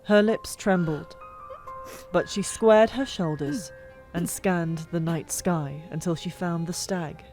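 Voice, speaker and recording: Female audiobook narrator, lower register, dramatic fantasy storytelling with deliberate pauses, set over prominent music. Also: darkish tone. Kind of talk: dramatic scripted fiction narration. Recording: music behind, older microphone.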